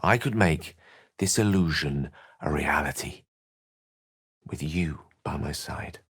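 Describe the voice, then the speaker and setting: A man's voice: very deep voice, takes it slowly, fairly bright tone, slightly rough, no room sound, studio quality, full character voice. An actor playing an eloquent, learned wizard in a video game, articulate and bookish, wry and earnest in his florid phrasing. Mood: yearning, ambitious. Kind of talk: scripted video game character dialogue.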